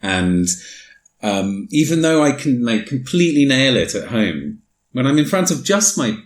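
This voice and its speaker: A man's voice: mid-range voice, normal speed. A man hosting a podcast interview, chatting casually and a little hesitantly. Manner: relaxed, hesitant, many fillers. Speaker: podcast host. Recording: roomy echo.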